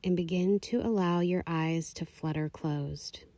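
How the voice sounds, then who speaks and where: A woman leading a guided meditation, giving calm, soothing, unhurried instructions. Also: fairly low pitch. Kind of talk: guided meditation, slow scripted narration.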